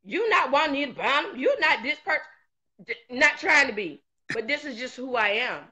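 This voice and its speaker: A woman's voice: sits high, big pitch swings, moderate pace, pushed and bright. A woman evangelist on a video call, exhorting in rhythmic repeated phrases. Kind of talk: preaching-style talk.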